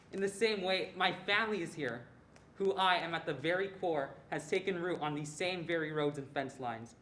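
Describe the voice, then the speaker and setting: A man's voice: on the high side, fairly bright tone, natural voice. A young man, a student narrator reciting scripted readers theatre lines. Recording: echoey room, faint background noise.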